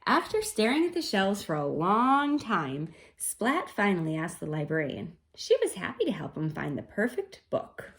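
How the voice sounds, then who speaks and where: A woman reading a story aloud for children in an expressive, coaxing way, pausing for chatty teacherly asides. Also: mid-range voice. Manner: animated, coaxing, pausing to comment and wonder.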